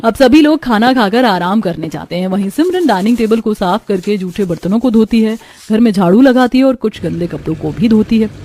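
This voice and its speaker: A woman reading scripted storybook narration for an animated cartoon, telling the action in the present tense. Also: mid-range voice, brisk, hardly any pauses.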